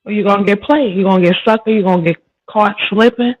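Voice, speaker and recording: A woman's voice: mid-range voice, fast talker, darkish tone, dry recording, dull audio. A woman guest in a casual chat, talking in a tough, streetwise, emphatic way.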